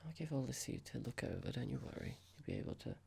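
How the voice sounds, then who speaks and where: A performer voicing a horrible, condescending office boss in a whispered ASMR roleplay, mock-polite and slow, asking pointed, patronising questions. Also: medium pitch, lively intonation, creaky, darkish tone, slightly rough, exaggerated voice. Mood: smug, reproachful.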